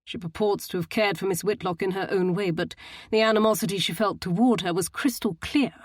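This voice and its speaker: A woman's voice: mid-range voice, brisk, close to an everyday voice. A woman voicing a stern man who questions people severely and with disdain. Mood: pressing.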